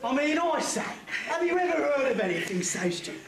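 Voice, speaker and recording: An actor playing a bossy, exasperated, bungling gangster henchman in an amateur pantomime, repeating himself loudly. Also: very high-pitched, very animated, fairly quick, crowd noise, full character voice. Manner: stammering repetition, loudly projected. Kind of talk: amateur pantomime stage acting. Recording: echoey hall stage, noisy old camcorder, audience.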